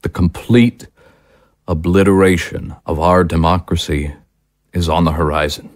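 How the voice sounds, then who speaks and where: An actor playing an alarmist, self-important political talk-show host in an audio drama, grave and emphatic. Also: male voice, deep voice, fairly even intonation, measured pace, no room sound, clean studio sound. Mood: grave, alarmed. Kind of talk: broadcast monologue in audio drama.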